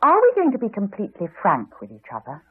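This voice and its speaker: Husky, reserved Englishwoman. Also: mid-range voice, wildly swooping pitch, no room sound. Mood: candid, tense. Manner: polite, measured, direct. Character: reserved, candid, unhappily married Englishwoman. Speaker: actress in a radio play. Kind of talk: 1980s British radio drama acting. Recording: slightly dull, dry old radio recording.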